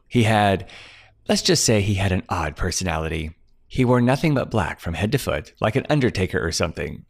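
A man voicing a chatty, gossipy young female secretary. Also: deep voice.

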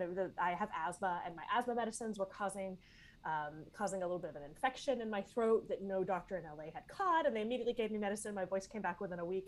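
A woman's voice: medium pitch, poor audio. A woman in her forties from East Texas, a vocal coach and former stage performer, telling her story in a chatty, candid way with many fillers. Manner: chatty, lots of fillers and restarts. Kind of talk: casual interview storytelling.

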